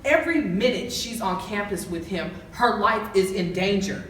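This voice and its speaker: A woman's voice: mid-range voice, expressive, moderate pace, fairly bright tone, close to an everyday voice. A woman acting an earnest, principled college counselor in an amateur courtroom drama. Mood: indignant, urgent. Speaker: actor in an amateur student film. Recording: echoey, distant, noisy room.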